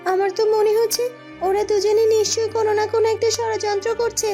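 A loyal young maidservant from a cartoon fairy tale, played by a woman, earnest and watchful. Her voice is extremely high and softly toned, over light music. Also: level tone, fast talker. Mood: suspicious, determined. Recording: music behind the voice.

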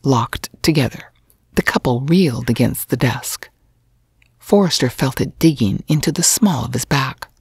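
A woman narrating fiction as an audiobook, with a breathy, husky voice and a sultry, scripted delivery. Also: very deep voice, lively intonation, moderate pace, a little mellow, no echo, clean studio sound.

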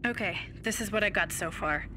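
Game cutscene role: actress playing earnest female resistance briefer, medium pitch, bright delivery. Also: fairly quick, a little hiss or hum, close to an everyday voice. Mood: serious, concerned. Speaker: resistance ally giving briefing. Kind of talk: video game cutscene acting.

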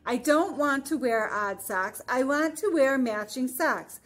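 Woman voicing a cranky, fretting little storybook fellow, higher-pitched. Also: a little slow, fairly bright tone. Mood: upset, insistent. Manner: emphatic, wailing, insistent. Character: cranky, upset young male character fretting over lost sock. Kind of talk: children's storybook character voice.

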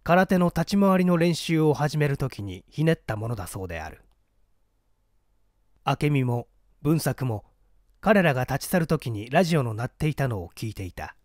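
A man's voice: medium pitch, sing-song, normal speed, a little mellow, no room sound, studio quality. A man reading a mystery story aloud as a scripted literary narration. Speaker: audiobook narrator.

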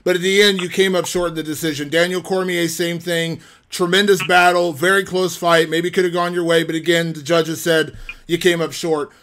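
A male reporter with a notably high voice. He puts long, conversational questions to interviewees. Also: flat delivery, normal speed, a bit bright.

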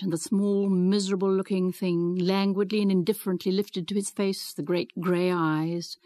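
A woman's voice: medium pitch, darkish tone, no echo. A woman reading classic fiction aloud as scripted narration for a radio programme. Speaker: reader of a novel excerpt.

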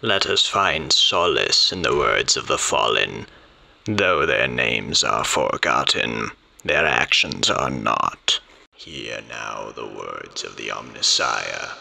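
A man voicing a solemn priest of a machine cult, intoning reverent sci-fi litanies slowly and ceremonially in a gravelly voice. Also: expressive, full character voice. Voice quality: extremely deep, gravelly. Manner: slow, ceremonial preaching. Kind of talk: sci-fi voice demo monologue. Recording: voice under loud music.

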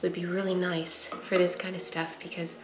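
A woman's voice: fairly low pitch, flat delivery, moderate pace. A young woman casually talking through a home cooking project in her kitchen, chatty and laughing easily. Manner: chatty, laughs easily, coughs at pepper fumes. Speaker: homestead cooking vlogger. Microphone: wide camera on the counter. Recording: echoey kitchen with background noise.

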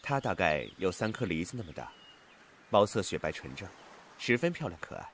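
A man's voice: deep voice, big pitch swings, a little fast, a little hiss or hum. An actor playing a playful, genteel male immortal in a costume audio drama. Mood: smug, fond.

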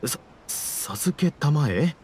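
A man's voice: a little high, expressive, very slow, darkish tone, slight background noise. A man playing a stubborn, theatrical, pleading struggling writer in an anime game. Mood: halting, unsure. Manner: theatrical, exasperated, insistent. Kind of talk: Japanese anime game voice acting. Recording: background music under the voice.

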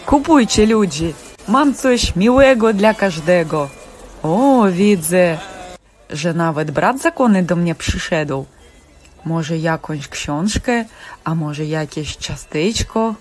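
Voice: mid-range voice, animated, slow pace. An actor playing a pushy, greedy market trader in a cartoon, hawking and wheedling in a sing-song way. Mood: hawking, eager. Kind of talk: animated stage-play acting.

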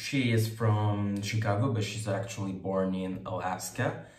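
A man's voice: on the low side, steady tone, a little mellow, reverberant. A young man hosting a casual reaction video from his bedroom, chatty and laughing often, picked up by a webcam at desk distance. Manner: chatty, laughs often, slow deliberate phrasing. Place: bedroom, indoors.

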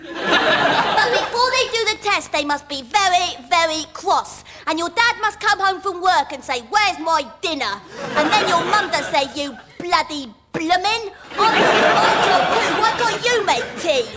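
A very high, earnest little girl, as a woman puts her on, explaining things in a matter-of-fact way with childish grammar. Loud audience laughter and crowd noise surround her. The comedy sketch carries steady background noise. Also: unhurried. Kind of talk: comedy monologue. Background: studio audience laughter.

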